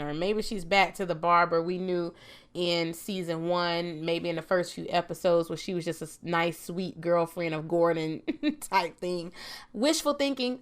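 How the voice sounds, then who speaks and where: A woman reviewing a TV episode in a casual, chatty vlog, her voice a bit raspy as she gets over a cold, laughing often. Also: lowish voice, a bit bright. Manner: chatty, laughs often, trails off with "I'm just saying". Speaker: TV review vlogger.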